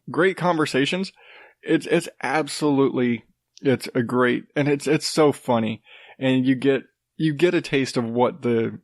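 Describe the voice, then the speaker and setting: A man hosting his own podcast, rambling casually with restarts and the odd swear, in a rather deep voice while coming down with a cold. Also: no room sound, clean studio sound. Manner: rambling, loose, with swearing and self-interrupting restarts. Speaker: podcast host. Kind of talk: casual solo podcast talk.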